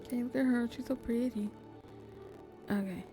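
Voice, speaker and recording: A woman streamer chatting casually while she plays, soft-spoken and sometimes nearly whispering. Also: a little high, steady tone, normal speed, music in the background. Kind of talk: casual gameplay commentary. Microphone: desk streaming mic.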